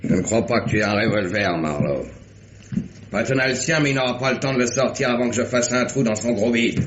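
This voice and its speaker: A man's voice: mid-range voice, fairly quick, lightly acted. An actor playing a guilty, defiant male police lieutenant, threatening and contemptuous, in a radio mystery. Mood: menacing. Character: cornered crooked male police lieutenant, menacing, defiant killer. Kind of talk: 1950s French radio mystery acting. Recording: muffled, echoey, noisy vintage radio studio.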